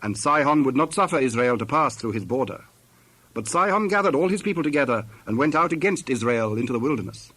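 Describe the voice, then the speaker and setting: A man narrating dramatized scripture from a script, in measured, solemn short phrases. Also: mid-range voice, animated, bright, forceful tone.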